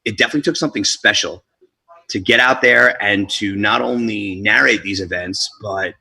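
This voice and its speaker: Husky, bright-voiced energetic man. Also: fairly low pitch, normal speed, dry recording, low-quality recording. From born in Colombia, raised in New Jersey. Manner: energetic, talkative, street-casual. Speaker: guest DJ and MC. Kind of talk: casual interview storytelling.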